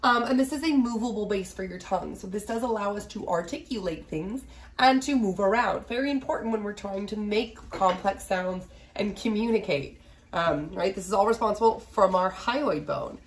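A woman's voice: mid-range voice, normal speed. Chatty woman teaching, slightly nasal. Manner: chatty, asks "right?" often, fillers. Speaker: biology instructor. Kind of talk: casual recorded lecture. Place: small home office, indoors.

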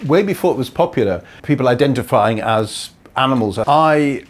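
Playful comedian spinning animated yarns. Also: male voice, medium pitch, moderate pace. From Newcastle, northeast England. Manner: playful, jumps into shrieking voices and impressions. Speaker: comedian guest. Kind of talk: animated comic storytelling. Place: small photo studio, indoors.

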